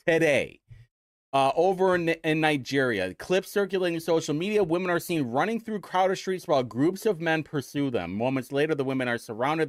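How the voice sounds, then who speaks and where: Sarcastic young Midwestern man, lively pitch. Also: no room sound, clean studio sound. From Indiana, United States. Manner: sarcastic, mocking. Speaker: host. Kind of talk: casual commentary show.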